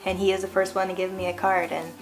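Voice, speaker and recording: A woman in her thirties from Hawaii, hosting a relaxed vlog and telling a personal story with frequent laughs and lots of "like". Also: fairly low pitch, fast talker, creaky, faint music behind, low-quality recording. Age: 30s. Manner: chatty, laughs often, lots of "like". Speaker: vlogger host. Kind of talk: casual storytelling chat.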